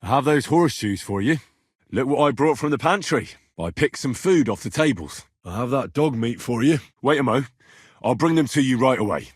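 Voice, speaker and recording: A young British man as a medieval servant turned adventurer in a video game. His voice is husky and middle-pitched, with lively, swinging intonation. He speaks earnestly and plainly, in a dry, close recording. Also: normal speed, no room sound. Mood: matter-of-fact. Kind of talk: scripted game character dialogue.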